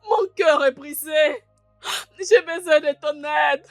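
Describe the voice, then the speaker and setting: An actress playing a desperate childless woman who tearfully pleads with God, wailing and repeating herself in drawn-out cries. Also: high-pitched, no room sound, shouting, clean studio sound. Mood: tearful despair. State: crying, wailing.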